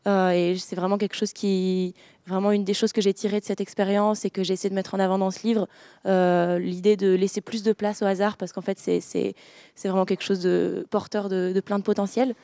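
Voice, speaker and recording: Young woman, hesitant, lots of fillers, mellow tone, dry sound. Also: mid-range voice, flat delivery, fairly quick, no room sound. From France. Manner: hesitant, many fillers and restarts. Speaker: author guest. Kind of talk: interview conversation.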